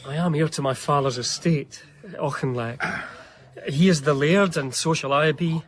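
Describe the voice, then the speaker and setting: Man playing an earnest young Georgian gentleman diarist. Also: a little high, moderate pace, natural voice. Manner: formal, literary, confiding. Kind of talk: radio drama narration and dialogue.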